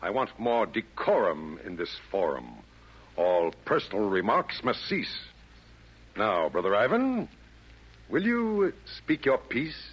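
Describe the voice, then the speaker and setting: Casting: a grand, pompous Satan for vintage radio drama, husky, big swings of pitch, rhymed speechifying. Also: male voice, sing-song, slow, lo-fi sound, heavily acted. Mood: stern, exasperated. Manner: theatrical, oratorical, rhymed delivery. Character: pompous male Devil chairing a meeting of demons. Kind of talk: 1940s radio acting. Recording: old 1940s radio broadcast.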